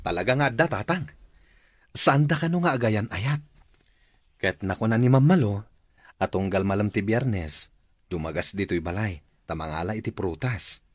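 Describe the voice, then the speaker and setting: A male actor plays a young Ilocano fruit seller in a radio drama, low and breathy-rough in a dry studio. He is bashful, lovestruck and respectful, speaking with some hesitation. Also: normal speed, pauses often, no room sound, close to an everyday voice. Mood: smitten, earnest.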